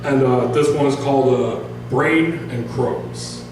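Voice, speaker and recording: Echoey, distant American man at a reading. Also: medium pitch. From northern Michigan, United States. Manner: halting, informal, self-deprecating. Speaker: author at a public reading. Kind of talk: casual spoken introduction and story reading to a small audience. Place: bar-like event venue, recorded from across the room. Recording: echoey venue, distant mic, music behind.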